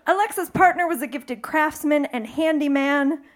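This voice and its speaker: Woman telling a dirty funny story to a crowd, playful, keeps laughing, pretty high voice. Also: normal speed. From American Midwest. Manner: playful, bawdy, laughing through lines. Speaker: storyteller at a live reading. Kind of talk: live comic personal storytelling. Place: stage before a live audience.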